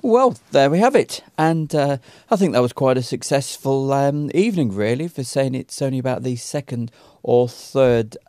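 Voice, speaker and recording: Halting, mellow-voiced man. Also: medium pitch, animated, dry recording, studio quality. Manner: halting, very frequent ums and pauses. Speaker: radio net organiser and channel host. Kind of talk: casual unscripted recap narration to viewers.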